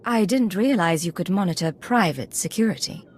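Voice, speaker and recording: A woman's voice: fairly low pitch, animated, normal speed, a little hiss or hum, natural voice. An actress playing a self-assured female imperial agent in a video game, controlled and wry. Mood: wry, professional.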